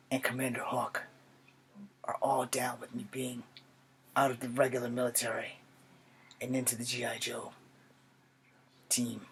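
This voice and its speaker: Man acting hushed, solemn young soldier. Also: medium pitch, slightly rough, exaggerated voice. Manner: hushed, halting, earnest delivery. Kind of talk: dramatic acted monologue.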